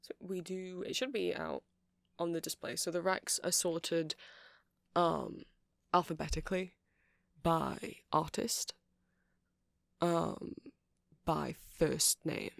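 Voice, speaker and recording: Nerdy vampire shop clerk ASMR performer, gravelly fry, dry intimate sound, eager but unsure, rambles and apologises. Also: mid-range voice, fairly even intonation, takes it slowly, pauses often, fairly bright tone, dry recording. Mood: helpful, rambling. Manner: hesitant, rambling, self-deprecating, nervous little laughs. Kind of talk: ASMR roleplay.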